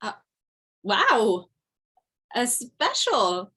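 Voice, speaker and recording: A woman's voice: on the high side, huge pitch swings, drawn-out words, sharp tone, breathy edge, full character voice. A woman reading the part of a wistful widowed mother and former comedian at an online table read, hesitant and wry.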